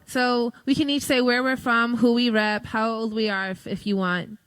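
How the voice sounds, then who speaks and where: A woman's voice: a little high, little pitch movement, normal speed, fairly bright tone, clear, resonant tone, no room sound. A woman leading a youth session, casually directing a crowd at a conference. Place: large conference hall.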